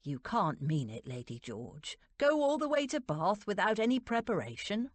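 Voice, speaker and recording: A woman's voice: sing-song, normal speed, no room sound. A woman voicing an anxious, deferential English butler who pleads respectfully in a storybook reading. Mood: dismayed. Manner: low-voiced, pleading, respectful.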